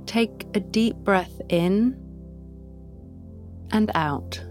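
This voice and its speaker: Slow, calm woman guiding a meditation. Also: mid-range voice, soft background music. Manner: slow, soft, pausing between prompts. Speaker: podcast host guiding a practice. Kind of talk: guided meditation.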